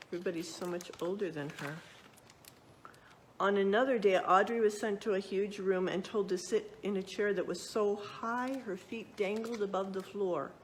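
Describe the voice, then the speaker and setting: Woman storyteller, expressive picture-book read-aloud, medium pitch, deliberate pauses, faint background noise. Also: low-quality recording. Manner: expressive, pausing, checking in with questions for young listeners. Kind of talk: reading a children's picture book aloud with asides to listeners.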